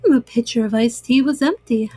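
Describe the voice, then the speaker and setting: A woman storyteller narrating a children's book with simple, deliberate phrasing. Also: a little high, mellow, husky, no room sound.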